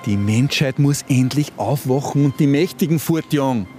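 An actor playing a domineering, condescending conspiracy believer turned kidnapper in a radio play, speaking colloquially with an Austrian accent. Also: male voice, medium pitch, moderate pace, darkish tone, faint music behind, natural voice. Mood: indignant, condescending. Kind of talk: contemporary radio play acting.

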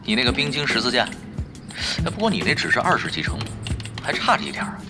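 A man's voice: medium pitch, huge pitch swings, fast talker. A man playing a shrewd young gamer in a Chinese audio drama, unruffled and teasing as he bargains. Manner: nonchalant, unruffled. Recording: loud music behind the voice.

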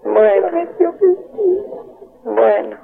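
A woman's voice: fairly high pitch, animated, takes it slowly, vocal fry, slightly rough. An Argentine woman in an emotional private phone conversation, taped on a recorder in the 1980s. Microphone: tape recorder attached to telephone. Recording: dull lo-fi taped phone call from 1984.

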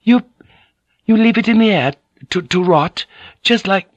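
A man's voice: fairly high pitch, moderate pace, no echo, highs cut off, voice pushed up, lightly acted. A man voicing a polite, squeamish Japanese noblewoman who speaks courteously and hesitantly in an audiobook. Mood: flustered, appalled.

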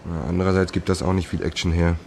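A relaxed male scriptwriter in a radio play who tosses in casual jokes. His voice sits somewhat low. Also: fast talker, vocal fry. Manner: casual, joking. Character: laid-back male scriptwriter.

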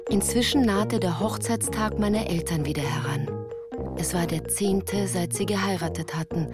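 An actress playing an earnest woman recalling her girlhood in measured, literary radio-play narration. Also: mid-range voice, over loud music, close to an everyday voice. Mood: earnest, reflective.

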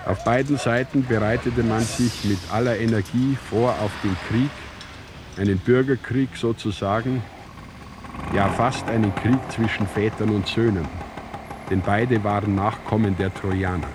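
An actor playing a sober chronicler of ancient Rome in an audio drama, narrating in a measured, matter-of-fact way. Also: male voice, lowish voice, fairly even intonation, noisy background, close to an everyday voice. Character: sober male chronicler retelling an ancient Roman war.

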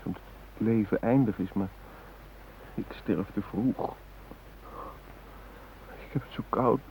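An actor in a radio play playing an anguished male hostage who speaks a last, intimate letter to his beloved. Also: fairly low pitch. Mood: tearful, desperate. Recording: hissy, narrow-band old radio recording.

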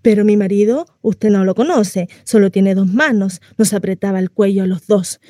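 A woman performs a housewife shut in at home, telling her story to a neighbour in a radio monologue. Her voice is very mellow and mid-pitched, recorded dry. She rambles conversationally, naive and confiding, tossing out rhetorical questions. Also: fairly even intonation, normal speed, dry recording, close to an everyday voice. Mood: breathless recounting.

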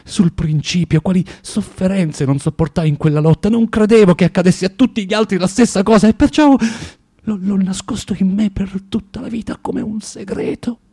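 An actor playing a bitter, self-loathing recluse in a radio drama monologue, theatrical and halting, feverishly repeating himself in a husky voice. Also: male voice, high-pitched, mellow, no echo, clean studio sound. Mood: mounting agitation. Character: bitter, self-loathing, rambling male recluse from Saint Petersburg.

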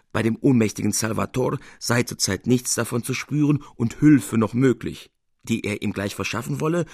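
A man narrating a literary tale expressively, with measured, theatrical emphasis. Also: mid-range voice, vocal fry, breathy edge, dry recording, studio quality.

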